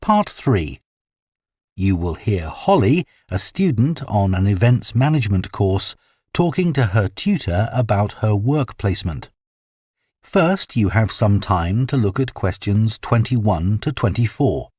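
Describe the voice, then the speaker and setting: Husky man reading exam instructions. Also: lowish voice, sing-song, darkish tone, no echo, clean studio sound. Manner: formal, deliberate. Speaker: test narrator.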